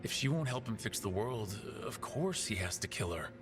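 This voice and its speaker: An actor playing a pragmatic, determined fantasy hero in a video game, speaking plainly and with purpose. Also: male voice, on the low side, very animated, normal speed. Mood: serious. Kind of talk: dramatic video game dialogue. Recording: music behind the voice.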